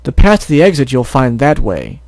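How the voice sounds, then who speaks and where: A man voicing a wise female zebra who speaks slowly and in rhymes. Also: mid-range voice, big pitch swings, a little mellow, dry recording, close to an everyday voice. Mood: calm. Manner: measured, rhyming, slow.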